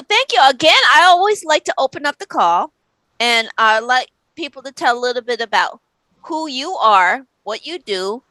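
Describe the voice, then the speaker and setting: A woman's voice: a little high, pushed and bright, no echo, rough recording. A woman hosting an interview show, introducing her guest and putting questions in an upbeat, welcoming presenter style. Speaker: show host.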